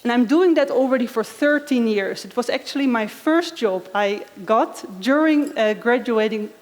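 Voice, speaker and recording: A woman's voice: on the high side, expressive, normal speed, darkish tone. A woman in her thirties from the Netherlands with a Dutch accent, giving a friendly conference talk and asking the audience questions. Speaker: conference speaker. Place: large conference hall. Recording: echoey hall.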